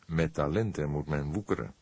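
Audiobook role: seasoned old detective, read by a male narrator, lowish mellow voice, slightly husky, measured and probing. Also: no room sound, exaggerated voice.

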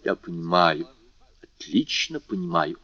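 Aloof, low-voiced English lord, read by a man. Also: sing-song, measured pace, bright, forceful tone, dry recording, muffled recording, exaggerated voice. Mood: cool, aloof. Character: phlegmatic, punctilious, eccentric English lord.

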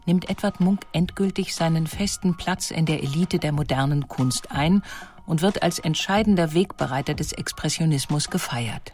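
A woman's voice: deep voice, normal speed, a little mellow, faint music behind. Composed female documentary narrator. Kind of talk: scripted narration.

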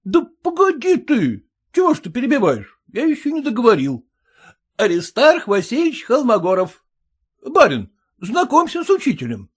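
A boisterous newly rich man bosses and treats his guests with hearty cheer, as a male narrator puts him on. His storybook voice is high and very animated. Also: normal speed, breathy edge, exaggerated voice.

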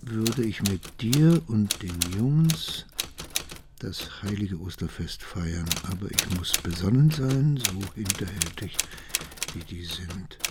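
Aging mafia patriarch: low-pitched, very slow, pious and patient, radio crime drama. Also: male voice, expressive, background noise. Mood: tender, solemn. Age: older. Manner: measured, deliberate, sententious.

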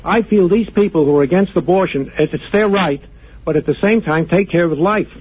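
An older man calls in by phone, sounding earnest and authoritative. His voice is rough and a little high. The line is dull and lo-fi. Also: fairly quick. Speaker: phone caller. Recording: dull phone call on broadcast.

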